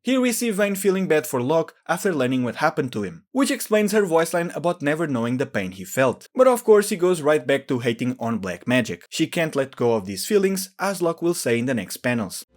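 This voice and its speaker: A man with a fairly high voice narrates a scripted commentary video. His intonation is very animated and swings widely as he explains and speculates. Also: a little fast, hardly any pauses, faint music behind.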